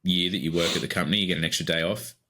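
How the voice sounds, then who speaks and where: An Australian man with a low-pitched voice co-hosts a podcast in easygoing chat. His tone is bright and forward, and he rambles a bit with lots of fillers as he talks. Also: steady tone, rapid-fire. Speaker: podcast co-host.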